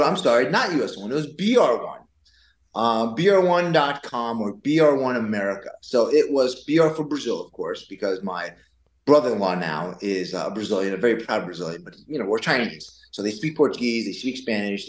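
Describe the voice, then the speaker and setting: A man's voice: mid-range voice, lively intonation, a little fast. Playful man in a roomy, echoey space. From born in Taiwan. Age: 40s. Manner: playful, joking, digressive. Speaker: podcast guest. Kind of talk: casual conversational storytelling. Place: indoors in a pool house.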